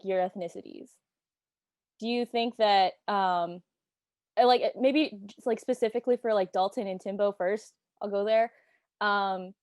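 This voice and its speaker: A young woman hosting a casual student podcast, chatting in a relaxed way with lots of filler words. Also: mid-range voice, no room sound, studio quality. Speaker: podcast host.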